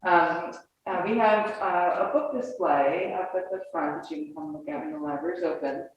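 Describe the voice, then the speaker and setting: Deep-voiced woman in a very echoey room. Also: moderate pace, dull audio. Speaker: library event host and moderator. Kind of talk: informal event moderation. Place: indoor library event room. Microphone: distant room microphone. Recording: distant, very echoey room with noise.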